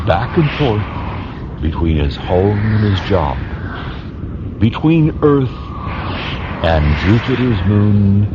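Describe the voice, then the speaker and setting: Low, measured male radio narrator. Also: noisy background. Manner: measured, formal. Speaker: announcer and narrator. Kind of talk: scripted radio drama narration. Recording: dull recording under music.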